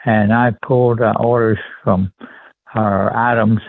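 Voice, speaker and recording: Through a thin, phone-like line comes an elderly man from rural Texas with a Texan accent. His voice is on the low side. He recounts memories politely and deliberately, with halting pauses, as the guest in an interview. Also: steady tone, no echo. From rural Texas, USA. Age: 70s. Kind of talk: conversational personal recollection. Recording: tinny phone-line sound.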